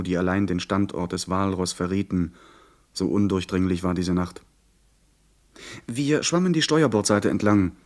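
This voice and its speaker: Actor as low-voiced adventurer telling his story. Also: lightly acted. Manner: vivid, carefully paced storytelling. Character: adventurous young man recounting his pirate adventure. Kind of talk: radio play narration in first person.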